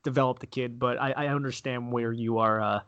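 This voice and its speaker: Very fast-talking man on a sports podcast. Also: medium pitch, fairly even intonation, fairly bright tone, no echo, lo-fi sound. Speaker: podcast co-host. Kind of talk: casual sports talk.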